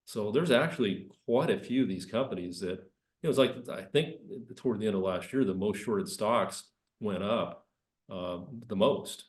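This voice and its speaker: Man with a cold, low voice. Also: moderate pace, reverberant. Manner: conversational, rambling with restarts. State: has a cold. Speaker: investment presenter. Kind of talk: market commentary.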